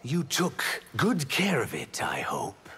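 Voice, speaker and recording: An earnest, wry reptile-man from a fighting game. He speaks slowly and formally, with big pitch swings. Clear noise sits behind the voice. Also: wildly swooping pitch. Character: earnest male reptilian humanoid warrior, gently wry. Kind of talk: video game character dialogue.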